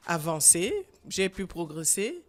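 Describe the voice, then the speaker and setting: A woman in her sixties with a Gabonese French accent, a guest author speaking earnestly and emphatically in a radio interview, pausing often with fillers. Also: lowish voice, sing-song, faint music behind. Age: 60s. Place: radio studio.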